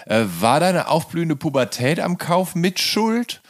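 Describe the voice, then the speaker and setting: A man's voice: on the high side, sing-song, measured pace, dry recording, studio quality. A man hosting an interview, putting conversational, informal questions to his guest. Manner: informal, often murmurs acknowledgements. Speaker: interviewer, host.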